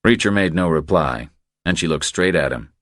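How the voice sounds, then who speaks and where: A man reading scripted audiobook narration in a measured storytelling manner. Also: sits low, expressive, fairly bright tone, dry recording, clean studio sound.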